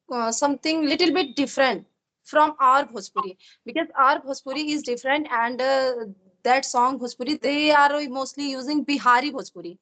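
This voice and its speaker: A woman with an Indian accent, a native Hindi speaker, chatting in English over a video call as practice, hesitant and full of fillers, often laughing softly. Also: high voice, low-quality recording. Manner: hesitant, many fillers, frequent soft laughs. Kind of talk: casual English practice conversation.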